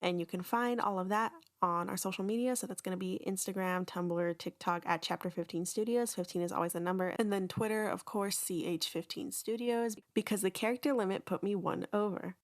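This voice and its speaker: A woman's voice: mid-range voice, brisk, hardly any pauses. A woman hosting a podcast, talking casually and informally in a self-deprecating way. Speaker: podcast host and creator. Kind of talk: casual chatty podcast announcement.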